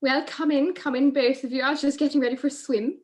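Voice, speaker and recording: A breezy, knowing society lady from a 1940s radio mystery, played by a woman. She speaks in a fairly high voice with a gushing, flirtatious and teasing manner. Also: steady tone, fast, heavy background noise, natural voice. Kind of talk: 1940s detective radio play acting.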